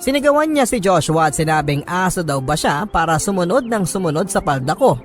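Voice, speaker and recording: A man with a fairly high voice delivers dramatic radio narration as a storyteller. He is solemn and reflective, with faint music underneath. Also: big pitch swings, a little fast. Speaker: storyteller reading a listener's letter.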